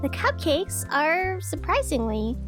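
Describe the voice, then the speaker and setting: A woman's voice: sits high, big pitch swings, takes it slowly, exaggerated voice. A woman playing an earnest, flustered radio host in a scripted audio drama, chatty and a little hesitant as she bravely soldiers on. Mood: earnest reporting. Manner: hesitant, self-reassuring, chatty. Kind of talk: scripted fiction podcast in the form of a community radio broadcast. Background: music under the voice.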